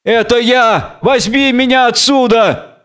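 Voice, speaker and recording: A man's voice: high voice, unhurried, a bit bright, pitched above the performer's own voice. A man playing a tormented, proud captive strongman, declaiming and brooding in a biblical tragedy. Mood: desperate calling. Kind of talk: dramatic reading of a biblical tragedy.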